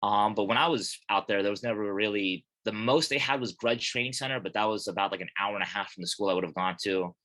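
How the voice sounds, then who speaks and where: A young man, born in Korea and raised in Colorado, chatting as a guest from a small gym office in a relaxed, rambling way with many fillers. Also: lowish voice, fast talker, few pauses. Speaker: guest, fighter and gym manager. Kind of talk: casual interview chat.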